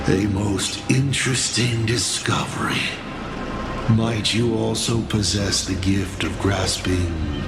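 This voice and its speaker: A man's voice: deep voice, echoey room, exaggerated voice. A man voicing a theatrical, playful villain lord in a video game, teasing and grandiose in his delivery. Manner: teasing, grandiose, drawn-out phrasing. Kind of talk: video game character acting.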